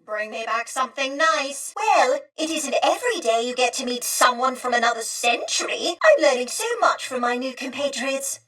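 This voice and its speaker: A woman's voice: high-pitched, expressive, moderate pace, hardly any pauses, fairly bright tone, distant mic, rough recording. A woman voicing a genteel, chatty, two-century-old female droid in a video game, with prim, courteous, wistful and theatrical phrasing. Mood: cheerful. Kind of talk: scripted video game character acting.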